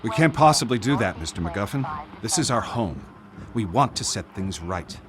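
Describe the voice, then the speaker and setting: An actor playing a proud, pressured senator and family patriarch in a video game, with a formal, authoritative manner. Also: male voice, on the low side, sing-song, normal speed, background noise. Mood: firm. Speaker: senator character. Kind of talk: dramatic video game dialogue.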